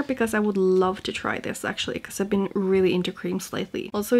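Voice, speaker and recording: A woman's voice: mid-range voice, darkish tone. Chatty young woman with a Norwegian accent. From Norway. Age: young adult. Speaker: beauty vlogger. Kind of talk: casual chat to camera. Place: small home room indoors.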